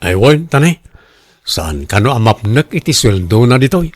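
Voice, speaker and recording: A man's voice: medium pitch, big pitch swings, normal speed, dry recording. A man playing a cash-strapped, defensive husband pleading for loans in an Ilocano radio drama, with an Ilocano accent. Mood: earnest. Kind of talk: Filipino radio drama acting.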